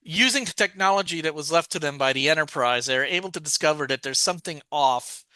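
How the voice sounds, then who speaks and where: A man's voice: a little high, brisk, pushed and bright, smooth, no room sound. A man co-hosting a casual podcast, recapping a story's plot at length and thinking aloud as he goes. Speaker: podcast co-host.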